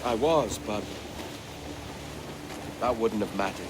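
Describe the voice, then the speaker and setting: An actor playing a sea captain father who talks calmly, warmly and with gentle teasing, in a video game cutscene. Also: on the low side, slow. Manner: calm, warm, gently teasing. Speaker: ship captain. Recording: loud wind and ocean behind. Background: wind and waves at sea.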